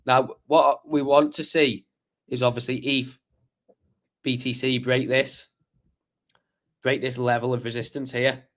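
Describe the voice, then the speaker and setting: Chatty man with a croaky voice. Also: medium pitch, flat delivery, measured pace, long pauses, a bit bright. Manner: chatty, rambling, many fillers and restarts. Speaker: crypto livestream host. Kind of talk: casual live chart analysis.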